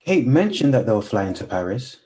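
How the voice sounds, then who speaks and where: A man's voice: medium pitch, sing-song, brisk, warm tone, close to an everyday voice. A man playing an earnest, guarded best friend in a radio play, sometimes hesitant. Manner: earnest, hesitant, evasive when pressed.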